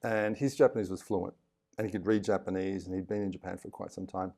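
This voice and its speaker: Aussie older man spinning lively yarns. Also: low voice, quick pace, gravelly. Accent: Australian. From Australia. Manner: animated storyteller who acts out other people's lines and laughs often. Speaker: podcast guest. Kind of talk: casual storytelling interview.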